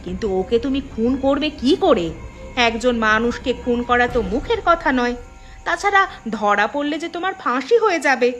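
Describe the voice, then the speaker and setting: Cartoon villainess, played by a woman: scornful village mother, conniving, high-pitched, faint music behind. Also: lively intonation, normal speed. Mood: cautious, conniving. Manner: scornful, calculating. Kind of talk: cartoon voice acting.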